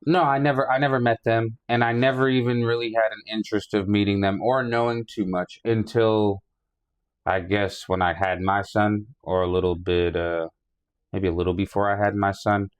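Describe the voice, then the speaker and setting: A man in his mid-thirties from New York talks in a casual podcast chat, his voice sitting low. He rambles easily, stacking fillers and swear words as he tells his story. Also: flat delivery, normal speed, clean tone. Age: 30s. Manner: laid-back, rambling with fillers and profanity. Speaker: guest rapper and painter.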